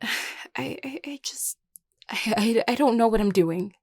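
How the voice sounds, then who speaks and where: A woman's voice: medium pitch, steady tone, takes it slowly, creaky, warm tone, no room sound, clean studio sound. Woman acting an anxious, stammering young vampire leader. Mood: anxious, upset. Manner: hesitant, stammering, apologetic. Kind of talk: scripted audio roleplay.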